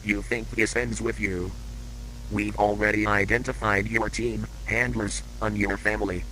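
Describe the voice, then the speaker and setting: An impatient, threatening interrogator played by a man in an audio horror drama. The voice is lowish and sharp, with faint noise behind it. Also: little pitch movement, moderate pace, rough recording. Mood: menacing. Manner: confrontational, impatient.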